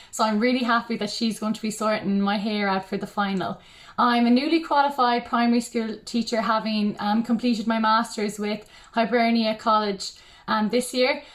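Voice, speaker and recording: A woman in her twenties with an Irish accent introducing herself casually, with many pauses and ums. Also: on the high side. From Ireland. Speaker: pageant contestant, primary teacher.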